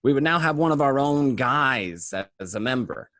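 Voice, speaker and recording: A man acting as an excitable mobster who tells his crime story conversationally, tripping over and repeating words. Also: mid-range voice, normal speed, dry recording, natural voice. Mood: boastful. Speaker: amateur actor in an online radio play. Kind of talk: first-person dramatic monologue, conversational.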